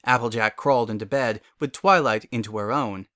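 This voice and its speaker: A man's voice: mid-range voice, a little fast, fairly bright tone, no echo, clean studio sound. A man giving a scripted dramatic narration of a story.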